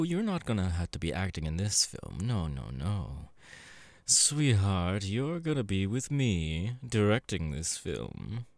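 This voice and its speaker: A man voicing a sleazy, irritable demon film director in an ASMR roleplay, domineering and coaxing with drawn-out delivery. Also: lowish voice, no echo, exaggerated voice. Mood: coaxing, controlling.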